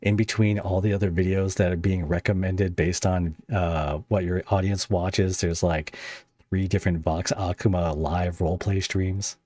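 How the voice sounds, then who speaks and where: Low-voiced male podcast host. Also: no room sound. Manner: relaxed, curious, quick reactions. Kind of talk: casual interview chat.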